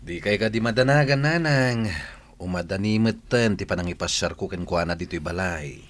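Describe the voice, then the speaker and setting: A man's voice: low voice, moderate pace, natural voice. A man with a Filipino accent playing an earnest, dutiful young son and boyfriend in a radio drama. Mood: reassuring. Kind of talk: Ilocano radio drama acting.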